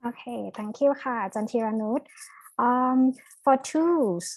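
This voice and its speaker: A Thai woman with a Thai accent, whose first language is Thai, co-presenting an academic webinar in English with brisk delivery and some hesitant fillers. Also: fairly high pitch, expressive, no echo, poor audio.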